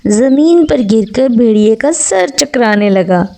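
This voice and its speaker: Female narrator for children's bedtime stories: slightly high pitch, very unhurried delivery. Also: expressive, gravelly, warm tone. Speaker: storyteller.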